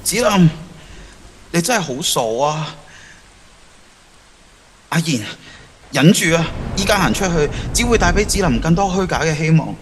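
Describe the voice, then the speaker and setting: A man's voice: a little high, animated, unhurried, long pauses, big-room sound, slight background noise. A man playing a lovesick, torn teenage schoolboy in an audio drama, with earnest, emotional delivery. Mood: wistful, torn. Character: lovesick, torn teenage schoolboy who has travelled back in time. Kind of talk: Cantonese audio drama acting.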